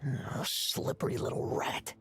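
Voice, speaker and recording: A woman playing a sly, menacing predator in a video game, taunting prey in a drawn-out, creaky, breathy voice. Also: slight background noise, close to an everyday voice.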